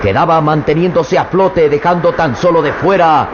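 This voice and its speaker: A man with a Mexican accent tells the story of a radio serial in a measured, dramatic way, his voice slightly high. The old recording sounds muffled with steady noise behind him. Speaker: narrator. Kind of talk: scripted radio drama narration.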